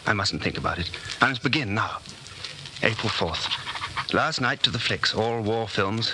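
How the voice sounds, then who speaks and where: A man's voice: on the low side, natural voice. An actor playing an anxious, secretly rebellious man of about forty in a 1940s radio drama, brooding and urgent. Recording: noisy 1940s radio broadcast recording.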